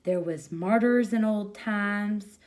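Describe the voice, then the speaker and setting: A woman's voice: medium pitch, slow pace, darkish tone, close to an everyday voice. Woman reading as exasperated, long-suffering crook. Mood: weary, defeated. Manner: pompous, wordy, folksy speech. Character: exasperated, beleaguered grown male crook, a kidnapper's sidekick. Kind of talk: storybook character reading.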